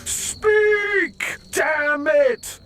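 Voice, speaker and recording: A man's voice: very high voice, extremely slow, bright, forceful tone, slight background noise, full character voice. A man playing a cruel, violent, domineering character in an audio drama, speaking in harsh, menacing outbursts. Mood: furious demanding. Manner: harsh, menacing, bilingual French and English outbursts. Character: cruel, violent, domineering man who seizes a gifted child. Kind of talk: dramatic audio fiction acting.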